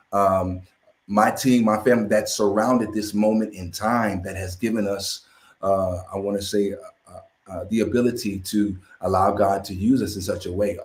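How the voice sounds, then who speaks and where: A man with a low voice speaks slowly and gratefully, pausing often with fillers. The call sound is roomy and echoing. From Florida. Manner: halting, grateful, many fillers. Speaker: guest musician and chaplain. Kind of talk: casual live stream chat.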